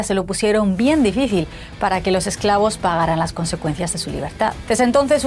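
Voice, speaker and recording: Female documentary narrator, polished scripted read, faint music bed. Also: mid-range voice. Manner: clear, even, polished delivery.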